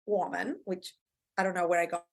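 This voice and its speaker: A middle-aged woman from Argentina with an Argentine Spanish accent, chatting as a podcast guest in an excitable, talkative way with many fillers. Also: on the low side, moderate pace, creaky. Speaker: podcast guest, branding coach. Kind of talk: casual interview chat.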